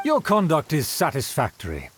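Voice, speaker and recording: A man's voice: a little high, wildly swooping pitch, normal speed, fairly bright tone, slightly rough, heavily acted. An actor playing a haughty, self-important aristocratic man in a video game, speaking pompously in formal, old-fashioned phrases. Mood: grandly approving. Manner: pompous, formal archaic diction.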